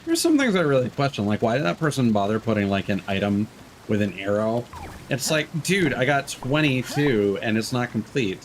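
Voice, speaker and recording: A man's voice: medium pitch, very animated, normal speed, slight background noise, poor audio. A man giving casual, informal commentary on a gaming stream, with some swearing. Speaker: live streamer.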